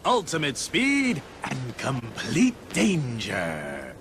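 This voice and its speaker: A man's voice: medium pitch, wildly swooping pitch, drawn-out words, noisy background, exaggerated voice. An actor playing a brash, menacing male host of a deadly racing sport, theatrical and taunting in showy broadcast patter. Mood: menacing showmanship. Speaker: racing commissioner and sports show star.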